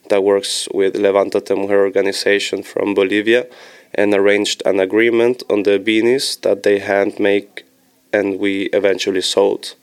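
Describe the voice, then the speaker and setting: A young man from Switzerland hosting a student podcast, measured and reading from notes as he thanks each guest. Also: fairly low pitch, a little mellow, clean tone. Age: young adult.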